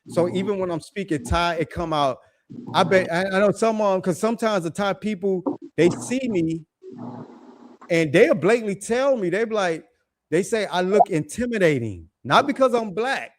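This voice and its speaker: A middle-aged man with a naturally deep, raspy voice, chatting casually as a guest, laid-back and slangy with repeated phrases. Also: animated, moderate pace, slight background noise. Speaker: guest living abroad. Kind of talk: casual chat.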